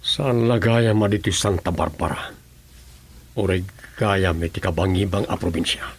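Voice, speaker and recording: Husky, lowish Ilocano-accented governor, serious and questioning, radio serial. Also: male voice, fairly even intonation, normal speed. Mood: grave, questioning. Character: grave male governor questioning his staff. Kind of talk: Ilocano radio drama acting.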